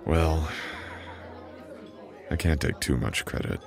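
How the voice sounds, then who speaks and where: Very deep, gravelly immortal boyfriend acted by a man. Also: a crowd in the background, exaggerated voice. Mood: warm, teasing.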